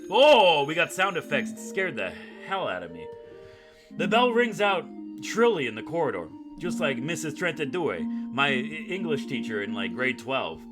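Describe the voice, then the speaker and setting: A man's voice: a little high, very animated, normal speed. Man reading a game aloud over music. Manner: jokey asides, dramatic storytelling read. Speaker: gaming video host. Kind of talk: reading visual novel aloud with casual joking commentary. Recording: music playing behind the voice.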